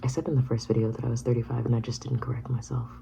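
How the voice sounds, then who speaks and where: A woman in her thirties whispering a personal vlog, halting and reflective. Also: very deep voice, little pitch movement, creaky, warm tone, a little hiss or hum. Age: 30s. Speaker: vlogger.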